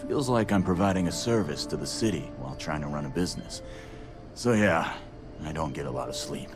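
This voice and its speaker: Tired deep-voiced frontier shopkeeper. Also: male voice, expressive, fairly quick, over music. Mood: weary. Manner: tired, plain-spoken. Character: weary overworked frontier general-store keeper, adult man. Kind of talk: video game character dialogue.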